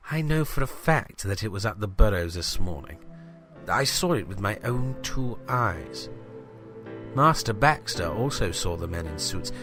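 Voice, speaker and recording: A man playing a pompous, fussy British village reporter who dictates a formal audio diary in a comic drama. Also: low voice. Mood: suspicious, resolute. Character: pompous genteel village newspaper reporter, self-important, fussy, prim.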